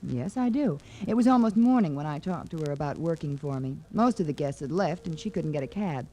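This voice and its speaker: Low, mellow-voiced flirtatious socialite. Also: female voice, expressive, brisk. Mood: curious, earnest. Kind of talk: 1940s radio drama acting. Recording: noisy vintage 1940s radio recording.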